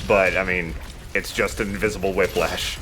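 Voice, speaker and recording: A man's voice: sits low, steady tone, normal speed, background noise. A man giving casual, joking and profane commentary while playing a video game. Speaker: let's play host playing the game. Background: game music behind the voice.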